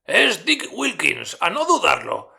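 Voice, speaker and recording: A man's voice: high voice, huge pitch swings, slow pace, creaky, pushed and bright, voice pushed up, heavily acted. An old miser from a storybook, stirred and emotional, put on by a male narrator. His voice leaps well above the narrator's own and rings out with raised, heartfelt exclamations. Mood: moved, excited.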